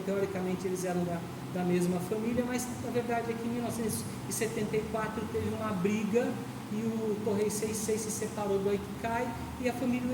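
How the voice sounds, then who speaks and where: A Brazilian woman, an aikido instructor, giving an informal explanatory lecture to students and checking in with them at the end of phrases. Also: medium pitch. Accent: Brazilian Portuguese. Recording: echoey hall from far off, noisy.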